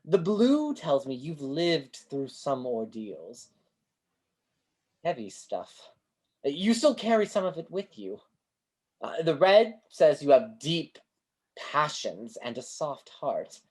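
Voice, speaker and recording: A woman's voice: low voice, big pitch swings, slow pace, darkish tone, heavily acted. A woman playing a grandiose, kindly street fortune-teller with florid delivery in a staged play reading over a video call. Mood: tender, solemn. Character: Great Banzini, grandiose theatrical male street fortune-teller, kindly mystic.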